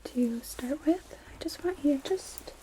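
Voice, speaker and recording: A woman whispering softly in an ASMR roleplay as an optometrist, giving gentle, unhurried step-by-step instructions close to the microphone. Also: sits high, lively intonation. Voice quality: soft, breathy whisper. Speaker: ASMR performer playing an optometrist. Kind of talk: ASMR roleplay of an eye exam. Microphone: close microphones on stands with reflection shields.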